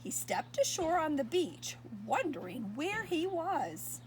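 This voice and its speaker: A woman with a high, bright voice reads a children's picture book aloud. Her pitch swoops up and down with expression, and she stops now and then to ask her young listeners what comes next. A faint noise sits under the recording. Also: slow. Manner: expressive, asks listeners questions. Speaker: storyteller.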